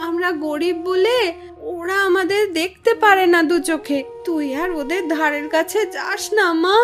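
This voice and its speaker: A woman's voice: very high-pitched, normal speed, fairly bright tone. A woman playing a poor village mother in a cartoon fairy tale, weary and tender, scolding out of worry in a plaintive way. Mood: tearful, anguished. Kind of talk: animated fairy tale dialogue.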